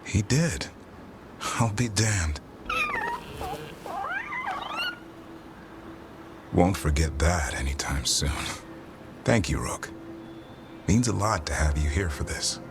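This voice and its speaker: An actor playing a restless, gruff but fond elf warrior who handles a griffin, in video game dialogue. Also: male voice, sits low, expressive, unhurried, long pauses, noisy background. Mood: warm. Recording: music under dialogue.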